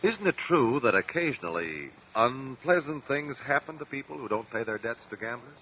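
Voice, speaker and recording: An actor playing a composed attorney in a radio courtroom drama, questioning witnesses in a measured, persistent way. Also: male voice, medium pitch, big pitch swings, pushed and bright. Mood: insistent. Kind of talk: old-time radio courtroom drama acting. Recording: dull, noisy old radio recording.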